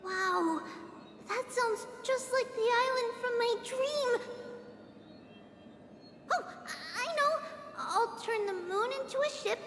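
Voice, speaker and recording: Very high, eager little girl. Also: slow, pauses often, fairly bright tone, reverberant, in a large hall, slight background noise, exaggerated voice. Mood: excited, dreamy. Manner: childlike, wide-eyed. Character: eager, innocent little girl devoted to her mother. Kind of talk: dramatic game voice acting.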